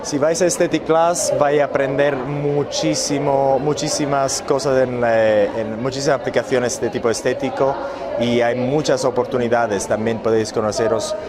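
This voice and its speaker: A man's voice: medium pitch, fairly even intonation, moderate pace, reverberant, a loud crowd, heavy background noise. A man born in Italy, speaking as the founder of an aesthetics business, hesitant with many fillers and restarts. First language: Italian. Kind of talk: promotional talk and interview.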